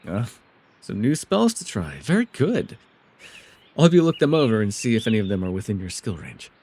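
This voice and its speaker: A man voicing a low-voiced, expressive mysterious elf. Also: brisk, husky, heavily acted. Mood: playful teasing. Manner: hesitant, sighing, tender conversational asides. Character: mysterious male elf, soft-spoken, gently teasing, secretive companion. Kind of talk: immersive ASMR roleplay acting.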